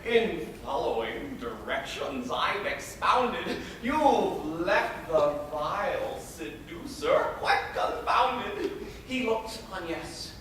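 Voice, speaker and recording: Actor doing a smug, controlling guardian type; high, swooping verse delivery, slow and bright, echoey hall from afar. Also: male voice, big pitch swings, far from the mic, a little hiss or hum, heavily acted. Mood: lecturing. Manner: declaims rhyming verse theatrically. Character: pompous middle-aged male guardian, controlling and smug. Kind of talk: stage monologue. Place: high school auditorium.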